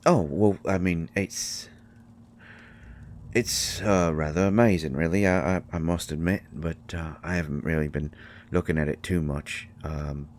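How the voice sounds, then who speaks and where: A man playing a shy, kindly mushroom fairy in a roleplay audio drama, speaking haltingly with folksy, ungrammatical phrasing. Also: deep voice, steady tone, a little hiss or hum. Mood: awkward, curious. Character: shy, kindly male mushroom fairy living in magic library. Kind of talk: scripted roleplay audio drama addressed to the listener.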